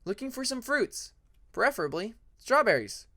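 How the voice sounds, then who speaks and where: A man voicing a gentle, polite young male dragon in a story, with a mild, friendly manner. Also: very high voice, sing-song, normal speed, no room sound, studio quality, pitched above the performer's own voice, exaggerated voice. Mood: cheerful.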